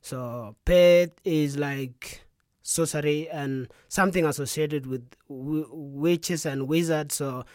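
Young south sudanese guy, soft-spoken, says yeah a lot, slightly high voice, dry studio. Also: steady tone, takes it slowly, no room sound. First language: Dinka. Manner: soft-spoken, frequent "yeah" and "you know". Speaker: musician guest. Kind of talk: casual radio interview. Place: radio studio.

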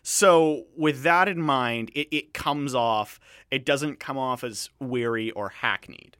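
A man hosting a radio show, chatting casually about films in a rambling, digressive way with halting restarts. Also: medium pitch, fairly bright tone, smooth, no echo, studio quality. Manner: rambling, digressive, halting with repeated false starts. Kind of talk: casual film review chat.

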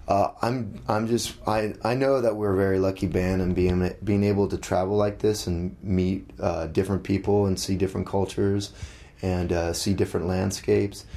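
Gravelly low-voiced man, halting. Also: fairly even intonation, dark tone. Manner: halting, many restarts and fillers. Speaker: interviewed musician. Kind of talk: casual interview talk.

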